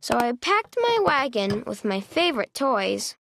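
A woman narrating a children's storybook in an expressive, deliberate way, stretching key words. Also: fairly high pitch, gravelly, background noise.

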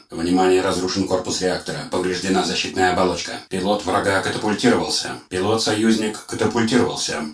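An actor voicing a calm, dutiful male combat robot, giving clipped military reports in a game. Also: low-pitched, reverberant, distant mic, close to an everyday voice. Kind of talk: game voice lines.

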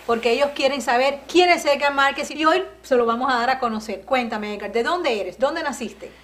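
A woman's voice: high-pitched, moderate pace, fairly bright tone. A middle-aged woman hosting a TV studio interview, welcoming her guest and asking prompting questions on a lapel mic. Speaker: TV host. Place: TV studio set.

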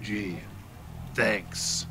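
A man's voice: on the low side, fairly even intonation, very slow, vocal fry, bright, forceful tone, slight background noise, heavily acted. A man playing a hardened veteran police detective with terse tough-guy slang in an old-time radio drama. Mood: reluctant.

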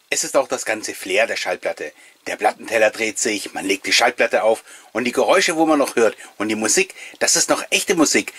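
A man's voice: medium pitch, a bit bright, slightly rough, no echo. A middle-aged man giving a casual, nostalgic voice-over commentary, reminiscing with wistful enthusiasm as the host. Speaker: host of a collectors' video channel.

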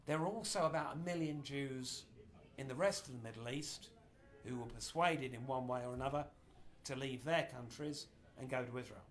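Middle-aged man giving an animated history talk. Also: mid-range voice, normal speed. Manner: animated, gesturing broadly while explaining. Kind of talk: informal lecture. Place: small indoor meeting room.

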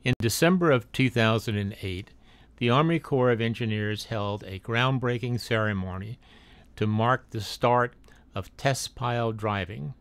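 A man's voice: mid-range voice, unhurried, clean tone, no room sound. A man narrating a drone video as a read-aloud factual voice-over, with occasional slips and restarts. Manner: reads a factual text, with small slips and restarts.

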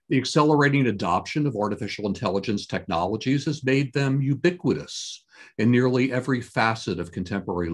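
A man in his sixties giving a formal, scripted opening address as a conference host, with measured, deliberate phrasing and a husky voice. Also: medium pitch. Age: 60s. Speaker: conference host introducing a keynote speaker. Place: home office with bookshelves, indoors. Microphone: computer webcam at desk distance, video call.

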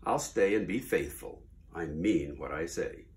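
Storybook elephant: man doing a gentle, earnest big elephant, low and slow. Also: animated. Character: big gentle good-natured male elephant.

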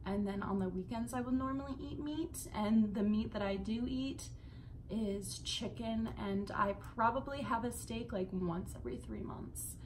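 A woman's voice: a little high, moderate pace, darkish tone. Young woman vlogging casually, noisy room. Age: young adult. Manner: informal, swearing, rambling asides. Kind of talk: casual chat to camera. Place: bedroom indoors.

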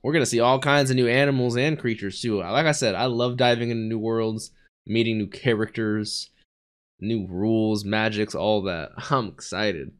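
Brief: young American-born male streamer, hoarse from illness, chatty and giddy, close boom mic in a small bedroom studio. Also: normal speed. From United States. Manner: excitable, chatty, laughing often. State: raspy, sick voice. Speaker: anime reaction streamer. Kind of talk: casual anime reaction commentary. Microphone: studio mic on boom arm, headphones.

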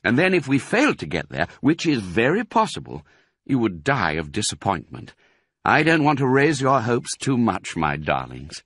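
A man's voice: mid-range voice, normal speed, husky, no echo, studio quality, full character voice. A man voicing a clever, determined father fox who gives orders to his family. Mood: gentle. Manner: animated, commanding, gleeful when triumphant.

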